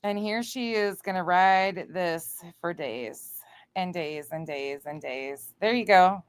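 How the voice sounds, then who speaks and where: A woman's voice: lowish voice, slow, clear, resonant tone. A woman hosting a livestream, reacting and chatting to viewers in a blunt, indignant, sweary way. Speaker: livestream host.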